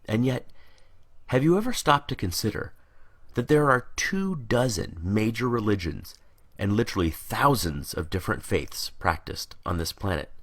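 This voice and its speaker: Male narrator, low register, highly varied intonation, deliberate and emphatic rhetorical delivery. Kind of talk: scripted rhetorical monologue.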